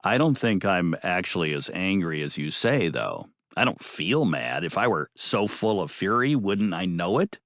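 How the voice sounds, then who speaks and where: A man plays a cautious, slow-to-anger man in an audiobook. He reasons hesitantly and sounds puzzled, dropping to lower notes. The sound is dry. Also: sing-song, fairly bright tone, no room sound, heavily acted. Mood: mild, puzzled. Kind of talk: audiobook character voice.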